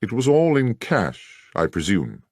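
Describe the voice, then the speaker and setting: A man playing an incisive, courteous Victorian gentleman detective in an audiobook, measured and formal. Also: on the low side, huge pitch swings, dry recording, clean studio sound, full character voice. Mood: cool, probing. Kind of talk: dramatized audiobook character dialogue.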